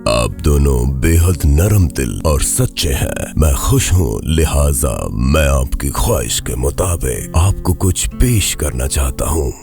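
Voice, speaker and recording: A man's voice: rumbling low, animated, gravelly, few pauses, music in the background, full character voice. A man playing a kindly, grand and theatrical wizard in a children's cartoon story. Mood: pleased, generous. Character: kindly male wizard granting wishes to hosts.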